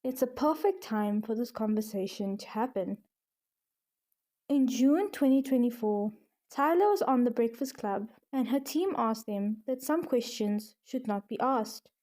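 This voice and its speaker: A woman from South Africa narrating a scripted video essay in a measured, explanatory way. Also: fairly high pitch, expressive, warm tone.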